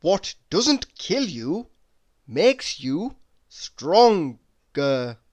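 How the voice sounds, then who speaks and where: Very slow, expressive reader with a mid voice. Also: mid-range voice. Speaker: reader of quotes. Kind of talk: reading humorous motivational quotes aloud.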